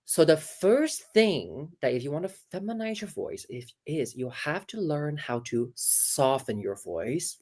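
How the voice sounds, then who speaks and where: A woman coaching voices over a video call, her voice sitting very low yet swinging widely in pitch. She talks in an animated, hands-on way, full of fillers, restarts and quick demonstrations. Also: slightly rough. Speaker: voice coach guest. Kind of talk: casual interview, teaching.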